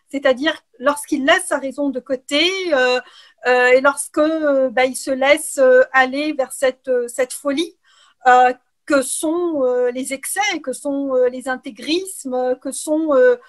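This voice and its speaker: A middle-aged woman from Algeria answering interview questions in a measured way, with frequent hesitations. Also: high voice. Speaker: interview guest, writer and political scientist.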